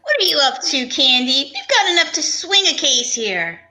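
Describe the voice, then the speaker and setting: A woman's voice: high voice, wildly swooping pitch, moderate pace, bright, forceful tone, heavily acted. A woman playing an easygoing male homicide detective with casual, obliging cop talk in an old-time radio parody. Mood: puzzled.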